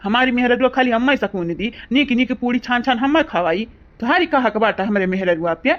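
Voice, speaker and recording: Woman doing cartoon village guy, awadhi accent, highish voice, grumbly and taunting, bit muffled lo-fi. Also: expressive, fairly quick, close to an everyday voice. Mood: annoyed. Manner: taunting, argumentative. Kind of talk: exaggerated rustic cartoon comedy dialogue. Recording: dull lo-fi audio.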